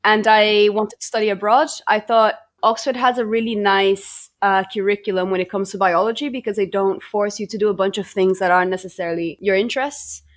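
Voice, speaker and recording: A woman's voice: medium pitch, steady tone, a little fast, few pauses. A young woman from Brazil, a native Portuguese speaker, talking casually about her research as a podcast guest, with frequent ums and restarts. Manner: chatty, many ums and restarts. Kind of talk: podcast interview, casual storytelling.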